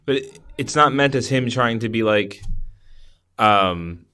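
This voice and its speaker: A man's voice: medium pitch, expressive, a little slow, pushed and bright, clear, resonant tone. A man co-hosting a podcast, chatting casually and enthusiastically about movies, often restarting his sentences as he rambles. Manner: enthusiastic, rambling with repeated false starts. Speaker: podcast co-host. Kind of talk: casual movie review chat.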